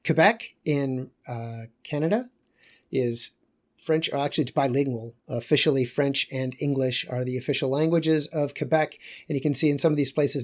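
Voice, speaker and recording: A man lecturing on geography in a loose, conversational way, pausing and correcting himself as he goes. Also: medium pitch.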